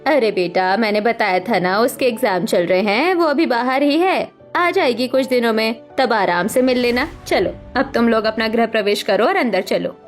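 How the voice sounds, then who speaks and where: A woman's voice: on the high side, normal speed, hardly any pauses, soft background music, natural voice. A woman voicing a two-faced, scolding middle-aged mother-in-law in a cartoon family drama. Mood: reassuring.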